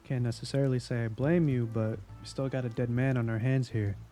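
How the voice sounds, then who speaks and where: A man plays a seasoned police investigator in an audio drama, jaded and sardonic. His mellow, dark-toned voice has a casual, needling delivery over faint music. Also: mid-range voice, fairly even intonation, fairly quick, natural voice.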